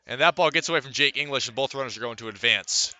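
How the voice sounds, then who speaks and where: A man's voice: mid-range voice, quick pace, pushed and bright, no room sound. A man calling a baseball game as a live radio play-by-play announcer.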